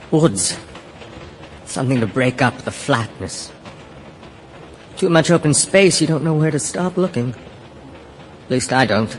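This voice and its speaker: An actor playing an uneasy, melancholy businessman, speaking in halting, brooding fragments in an audio drama. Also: fairly high pitch, a little hiss or hum. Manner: halting, brooding, short phrases. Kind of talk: audio stage play dialogue.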